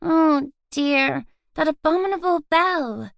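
A woman voicing a chattery, excitable young girl in an audiobook, talking in a hurried rush. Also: high-pitched, gravelly, dry recording, studio quality, pitched above the performer's own voice, heavily acted. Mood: excited, hurried. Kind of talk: audiobook character reading.

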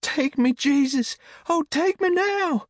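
Guy doing a church lady in rapture, way up high, wailing and strained, audiobook acting. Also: takes it slowly, no echo, studio quality. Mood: frenzied. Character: ecstatic, wailing female revival worshipper.

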